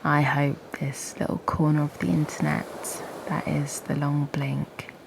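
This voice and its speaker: A woman leading a guided meditation as host and teacher, speaking softly and breathily in a gentle, half-whispered voice with long, unhurried pauses. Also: low-pitched, little pitch movement, vocal fry, low-quality recording. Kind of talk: guided meditation, slow and soothing.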